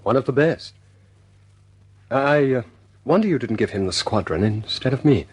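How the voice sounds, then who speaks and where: A man playing a calm, courteous, understated air force squadron leader in a wartime radio drama. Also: medium pitch, moderate pace, husky. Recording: noisy ambient background.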